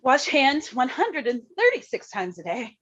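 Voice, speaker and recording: A woman's voice: sits high, very animated, normal speed, fairly bright tone, husky, heavily acted. Actress as a worried mother, slightly muffled. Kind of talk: staged reading of a play.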